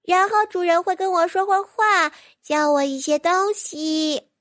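A woman's voice: very high-pitched, a little slow, a bit bright, no room sound, clean studio sound, pitched above the performer's own voice. A woman voicing a naive, sleepy, obedient baby magical creature in an audiobook, with a simple childlike manner. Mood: innocent, simple. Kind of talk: audiobook character voice.

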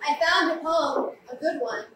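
A woman's voice: high-pitched, expressive, moderate pace, fairly bright tone. A young woman playing a brooding, wounded, accusing woman, grave and terse, in a theatrical staged reading. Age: young adult. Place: small café, live staged reading. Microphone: far camera. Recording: echoey, distant camera mic, faint noise.

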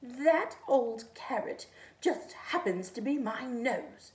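A woman's voice: a little high, expressive, slow pace, darkish tone, voice pushed up. Woman voicing a vain haughty snowman. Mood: proud. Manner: snarling, haughty. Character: proud vain snowman, male, cold and haughty. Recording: echoey room, faint ambience.

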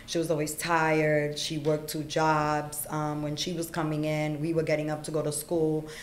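Low-voiced woman telling her life story. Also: completely flat delivery, normal speed, echoey room. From Puerto Rico. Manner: frank, plainspoken, many ums and you-knows. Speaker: podcast guest. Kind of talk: candid life story in conversation. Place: small studio room with acoustic panels. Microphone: desk boom mic.

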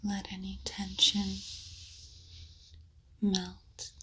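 A woman whispers a guided meditation, unhurried and soothing, with long pauses between short phrases. Her delivery stays almost perfectly level with no rise or fall. A faint hiss of noise sits under the recording. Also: mid-range voice, completely flat delivery, a bit bright. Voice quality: unvoiced whisper.

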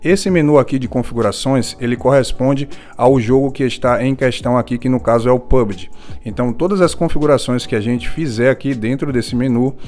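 A man's voice: medium pitch, a little fast, a little mellow. A man with a Brazilian accent giving a casual, explanatory product walkthrough as a tech reviewer, often checking in with small tag questions. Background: background music.

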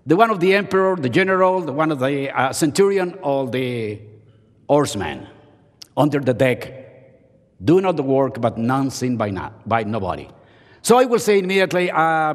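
An older man giving a playful, self-mocking chapel sermon from a podium. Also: mid-range voice. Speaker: seminary professor and chapel speaker. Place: large seminary chapel auditorium. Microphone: podium microphone. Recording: long hall reverb.

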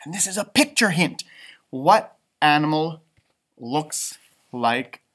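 Male storyteller for young children, thirties, high-pitched for a man, lively swooping intonation, playful asides. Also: slow pace, husky. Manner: expressive, playful, asks listeners questions. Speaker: elementary school storybook reader. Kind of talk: animated children's read-aloud with asides. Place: indoors, school library.

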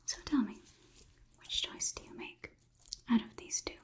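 A young woman whispering an ASMR roleplay close to the microphone as a detached robot overlord, in a deliberate, inquisitive, clinical manner. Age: young adult. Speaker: ASMR performer.

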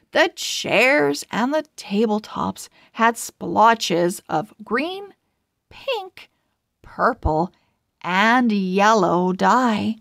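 A woman reading a children's storybook aloud in an expressive, deliberate way. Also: mid-range voice, gravelly, no room sound, studio quality. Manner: expressive, deliberate word-by-word delivery. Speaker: storybook reader. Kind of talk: children's read-aloud narration.

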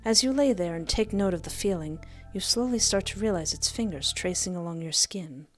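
A playful, expressive woman doing a let's play, reading game text aloud and commenting, close on a desk microphone. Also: lowish voice, fairly quick. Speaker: gaming streamer. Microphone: close desk microphone with headset. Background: game music.

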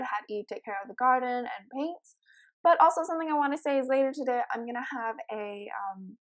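A teenage girl with a raspy morning voice, chatting casually and giggling while commentating a life-sim game. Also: a little high. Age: teens. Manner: chatty, giggly, rambling with many ums. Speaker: let's play host.

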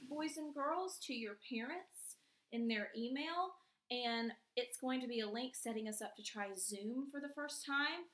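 High-voiced young woman teacher in an echoey room. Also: pushed and bright. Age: young adult. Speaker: elementary school teacher. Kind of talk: children's read-aloud and chat to pupils. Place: small plain room indoors. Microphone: camera mic a few feet away.

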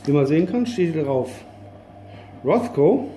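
A man's voice: a little high, lively intonation, unhurried, dark tone. A middle-aged man from Germany giving a casual, rambling gear review in a joking, colloquial manner. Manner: informal, joking, colloquial with mild swearing. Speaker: vlogger reviewing outdoor gear. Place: concrete basement laundry room, indoors. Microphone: camera mic a few steps away. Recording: echoey room, distant camera, background noise.

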